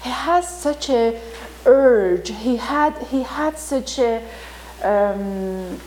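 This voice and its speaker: Woman from Iran, Turkish first language, informal teller, slightly high pitch, hesitant delivery, faint noise. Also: expressive, a little mellow, poor audio. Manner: halting, many fillers, vivid retelling with acted quotes. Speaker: storyteller teaching about Rumi. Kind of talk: informal talk.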